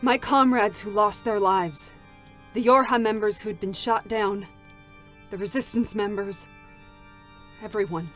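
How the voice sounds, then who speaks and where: A woman's voice: medium pitch, fairly bright tone, faint music behind, close to an everyday voice. A woman voicing a world-weary, bitter android soldier haunted by loss, in a grave, dramatic game-story reading. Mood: mournful. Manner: slow, brooding, grave delivery. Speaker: voice actor.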